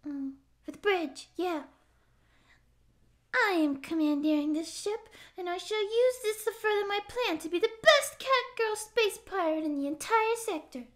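A woman's voice: extremely high pitch, expressive, measured pace. A woman voicing a timid but eager young catgirl who wants to be a space pirate, girlish and sometimes whispery, with nervous bluster that slips into meek rambling, in an audio roleplay. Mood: bossy bravado. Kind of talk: scripted ASMR audio roleplay.

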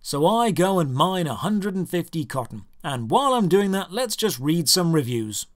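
A man's voice: on the high side, very animated, moderate pace. A British man narrating a scripted video game review in a sarcastic, exasperated manner. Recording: music under the voice. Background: background music.

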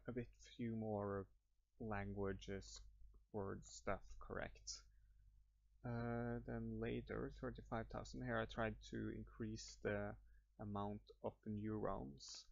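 A young man with a Norwegian accent talks over his screen recording, drifting and restarting his sentences with plenty of fillers. His voice sits low, with a gravelly edge. The recording is dry and a bit muffled. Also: steady tone, takes it slowly, no room sound. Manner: rambling, hesitant, many fillers and restarts. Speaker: YouTuber. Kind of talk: improvised screen-recording walkthrough. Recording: muffled dry home mic.

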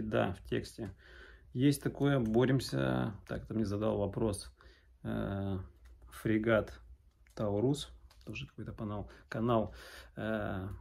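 A man's voice: fairly low pitch, vocal fry, a little mellow. A man in his thirties from Arkhangelsk in northern Russia, answering questions in a casual vlog with hesitant, broken phrasing. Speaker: sailor vlogger. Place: small indoor room at home.

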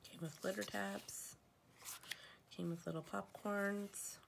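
Sniffly lady chatting about planner stuff at home, kinda gravelly, a little background hiss. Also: mid-range voice, steady tone, takes it slowly. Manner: chatty, rambling with fillers and self-corrections. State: sniffly from allergies. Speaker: planner vlogger. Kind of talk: casual show-and-tell narration. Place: at home at a table.

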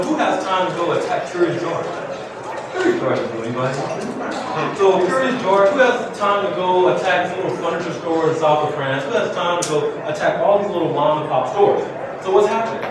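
A man giving a conference keynote as a security researcher, posing rhetorical questions and tagging points with "right?". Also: on the high side. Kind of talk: conference presentation to an audience. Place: indoor conference room with seated audience. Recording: very echoey room, distant mic, clearly noisy.

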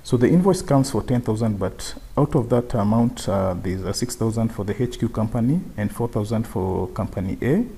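Guy doing a screen-share software tutorial, lowish mellow voice, goes step by step. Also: expressive, normal speed, hardly any pauses, husky. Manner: step-by-step explaining, repeats phrases.

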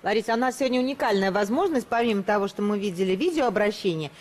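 A woman's voice: mid-range voice, lively intonation, fast talker. A woman co-hosting a TV dating show, asking colloquial, rambling questions. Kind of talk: studio TV chat.

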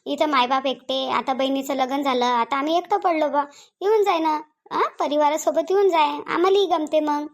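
An aging cartoon village mother, played by a woman, speaks in a very high voice with a Varhadi Marathi accent. She is plain-spoken and lonely, and she nags by saying things over again. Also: moderate pace. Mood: reproachful, urging. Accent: Varhadi (Vidarbha) Marathi. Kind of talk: cartoon family drama dialogue.

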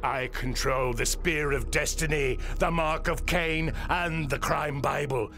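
An actor playing a menacing, grandiose dark sorcerer lord in a video game, commanding and imperious. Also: male voice, on the high side, a little slow. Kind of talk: video game villain acting. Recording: loud music behind voice.

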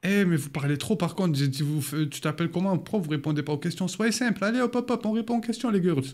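A young man streaming from his home gaming setup, teasing strangers on a video chat, deliberately mishearing them and acting offended. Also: high-pitched, darkish tone. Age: young adult. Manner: plays dumb, mishears on purpose, mock-offended.

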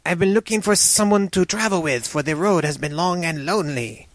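A man voicing a tall, proud serpent-man in a storybook, soft-spoken, courteous and wry. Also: high voice, a little fast, dry recording, voice pushed up, natural voice. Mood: welcoming.

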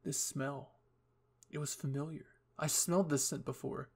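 Higher-pitched, creaky male story reader. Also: fairly even intonation, moderate pace, darkish tone. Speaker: fan fiction reader. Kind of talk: scripted first-person story narration.

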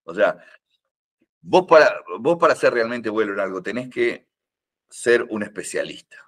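A man's voice: lowish voice, very animated, normal speed, fairly bright tone. An older man with a Rioplatense Spanish accent, a karate teacher who rambles with many fillers and restarts, and teases. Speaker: karate teacher, podcast guest. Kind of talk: casual podcast conversation.

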